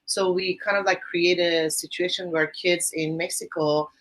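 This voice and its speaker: A woman's voice: on the low side, fairly even intonation, normal speed, fairly bright tone, poor audio. A woman from Iran with a Persian accent, a guest on a podcast interview, talking enthusiastically about volunteer teaching, with a rambling, restarting manner.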